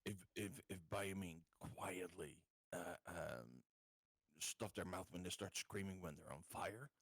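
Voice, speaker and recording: A man playing a tabletop roleplaying game, halting and wry, slipping casually into his character's lines. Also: low-pitched, a bit bright, no echo, studio quality.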